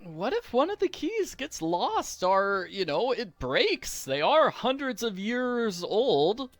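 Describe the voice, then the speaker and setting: Guy doing a goofy game walkthrough, high voice, really up and down, mock serious, music in back. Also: sing-song, slow pace. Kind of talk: playful gameplay commentary.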